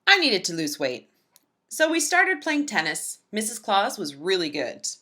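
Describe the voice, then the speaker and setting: A woman reading a children's picture book aloud in an expressive, emphatic storytelling manner. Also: medium pitch, a little slow.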